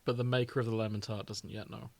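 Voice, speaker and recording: A man's voice: lowish voice, little pitch movement, fast, creaky, no room sound. A man hosting a podcast, talking in casual banter with friends. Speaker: podcast host.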